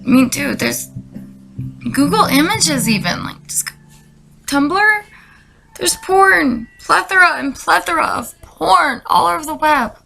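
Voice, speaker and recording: Female comedic reader, creaky fry, deadpan and sarcastic, rambling asides, music underneath. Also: high voice, slow pace, low-quality recording. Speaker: comedy video creator. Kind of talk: deadpan comedic commentary and dramatic reading.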